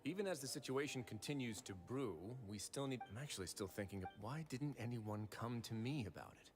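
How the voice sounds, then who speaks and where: An actor playing a composed, analytical man in a video game, speaking in a measured, deliberate way. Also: mid-range voice, lively intonation, music in the background, lightly acted. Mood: calm, explanatory.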